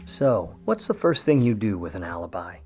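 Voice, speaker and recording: An actor playing a wry, grudging, hard-boiled Hollywood private eye of the 1950s in old radio noir style, full of slangy wisecracks. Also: male voice, deep voice, very animated, moderate pace, a little mellow, soft background music. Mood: world-weary narrating. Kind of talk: old-time radio noir acting with narration.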